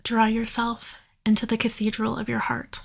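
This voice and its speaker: Steady, high-voiced woman guiding meditation, slightly creaky. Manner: soothing, unhurried, with long pauses. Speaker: meditation guide. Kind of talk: guided yoga nidra meditation.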